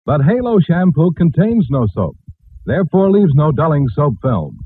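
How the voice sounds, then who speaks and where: Lively male announcer on tinny old radio. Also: on the high side, moderate pace, husky, no echo. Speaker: commercial announcer. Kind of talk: 1940s radio advertising. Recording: tinny, noisy vintage radio recording.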